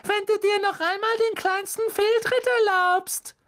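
A reader voicing an arrogant, spiteful schoolboy bully in a storybook, loud, cutting and taunting. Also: very high voice, fairly even intonation, a little slow, voice pushed up. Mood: mocking, threatening.

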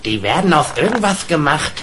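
A man voicing a dull, crude, bossy teenage boy slacker in an animated comedy dub, with slow-witted, drawn-out hesitations and a dismissive manner. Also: fairly high pitch, crowd noise.

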